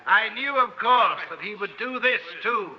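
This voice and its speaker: Snooty scientist in an old radio play, pretty high voice, precise lecturing, muffled old recording. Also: male voice, unhurried, crowd noise. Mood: cool, detached. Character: arrogant male scientist coolly presenting his findings. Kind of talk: old-time radio drama acting. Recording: dull, band-limited old radio recording.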